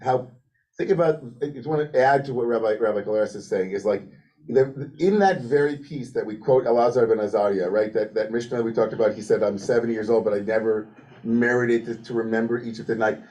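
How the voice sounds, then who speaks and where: A man leading a lively study discussion as rabbi, thinking aloud with many restarts and "like" fillers. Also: medium pitch, a little fast, low-quality recording. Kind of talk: animated teaching discussion. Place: synagogue room with a Zoom link.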